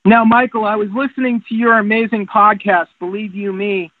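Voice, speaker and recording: Male reporter, high register, prepared interview questions, narrow telephone sound with little room. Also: flat delivery, normal speed, no echo. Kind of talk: prepared press questions on a conference call. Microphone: phone. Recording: tinny narrowband phone line.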